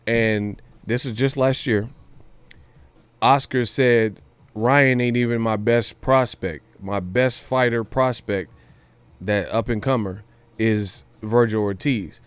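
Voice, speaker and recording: A man's voice: mid-range voice, fairly even intonation, slow, smooth, dry recording. A man hosting a boxing news livestream, talking casually in slang with some swearing and repeating phrases for emphasis. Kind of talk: casual live-stream commentary.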